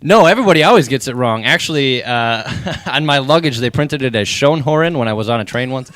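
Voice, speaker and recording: Young comic guest, fast and laughing, dead-dry studio sound. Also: male voice, medium pitch, expressive, fairly bright tone, no room sound. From North Dakota. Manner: fast, laughing, self-mocking. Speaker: guest comedian. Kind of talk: casual radio interview.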